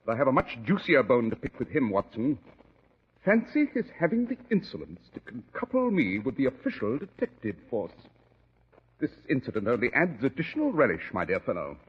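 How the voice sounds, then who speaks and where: A thin, tinny vintage radio recording carries a gentleman detective. He is urbane and dryly ironic, with a husky voice that moves widely in pitch, in 1940s drama style. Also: huge pitch swings, a little fast, heavily acted. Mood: keen, inquisitive. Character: shrewd, unruffled gentleman detective, dryly witty.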